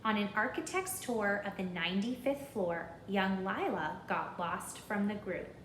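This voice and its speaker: A woman reading a children's story aloud in an expressive, deliberate, rhythmic way, pausing to put questions to listeners. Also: mid-range voice, echoey room, slight background noise, poor audio. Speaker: storybook reader.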